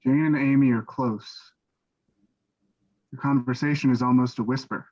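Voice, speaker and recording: Guy narrating stage directions for a play reading, average pitch, kinda muffled lo-fi audio. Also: steady tone, moderate pace, darkish tone.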